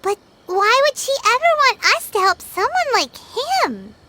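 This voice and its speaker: Tiny game fairy, childlike, very high squeaky pitch, bouncy intonation, curious and chatty. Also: female voice, huge pitch swings, measured pace, very noisy, heavily acted. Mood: puzzled. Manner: refers to self by name, inquisitive. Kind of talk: video game cutscene dialogue.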